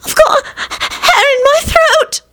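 Hysterical, shrieking, very high-pitched wailer. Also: female voice, takes it slowly, pushed and bright, heavily acted. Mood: irritable, choking. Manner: wailing, melodramatic. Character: hysterical, self-pitying, shrieking woman at a family table.

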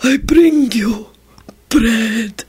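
A woman voicing a huge, creepy male goblin in storytelling, breathy and groaning, wretched and fawning, with drawn-out pleading words. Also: on the high side, darkish tone, pitched above the performer's own voice, exaggerated voice. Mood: lustful, groaning. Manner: slow, drawn-out, pleading delivery.

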